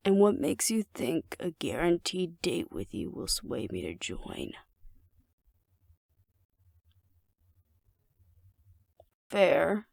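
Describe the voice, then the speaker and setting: Low, gravelly, cocky werewolf woman, acted out by a woman. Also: big pitch swings, a little slow, darkish tone, dry recording, clean studio sound, heavily acted. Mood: teasing, flirtatious. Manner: wry, teasing, confident, sighing. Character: cocky, flirtatious female alpha werewolf, street-hardened and teasing. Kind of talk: intimate audio roleplay acting addressed to the listener.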